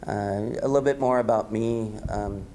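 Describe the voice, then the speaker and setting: A man in his fifties with a raspy voice, giving a loose, upbeat keynote full of ums at a podium microphone in an event hall. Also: on the low side, steady tone, moderate pace, mellow, a little hiss or hum. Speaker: keynote speaker. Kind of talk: casual speech at an awards event.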